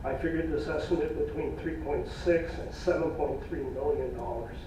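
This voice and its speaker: A man presenting cost estimates at a public meeting in a plain, folksy way, losing his voice, with halting fillers. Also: on the high side, background noise. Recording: echoey room from far off, dull.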